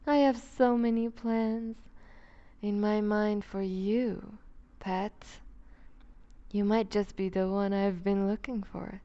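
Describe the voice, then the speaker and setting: Vampire duchess, female performer: predatory and teasing, very unhurried, dark mellow tone, whispered asides, intimate ASMR roleplay. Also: mid-range voice, fairly even intonation, muffled recording, natural voice. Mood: possessive, commanding. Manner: slow, deliberate, purring condescension with whispered phrases. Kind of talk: ASMR roleplay audio drama.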